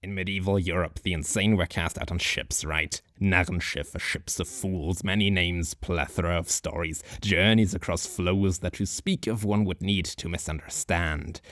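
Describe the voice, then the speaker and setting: A deep-voiced, rambling old drunk of a hobo sage, voiced by a man, holding forth in a slow, musing monologue. He chuckles dryly between his thoughts, and the sound is close and dead-dry. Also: fairly even intonation, fairly bright tone, dry recording.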